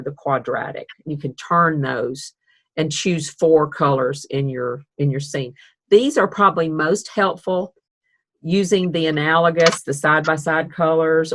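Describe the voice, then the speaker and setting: A woman's voice: low-pitched, fairly bright tone. A woman teaching an online art class over a video call, chatty and upbeat with self-deprecating asides. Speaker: art instructor.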